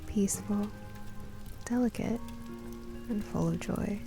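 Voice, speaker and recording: A woman narrating a scripted first-person story in a gentle, expressive way. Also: mid-range voice, gravelly, rough recording.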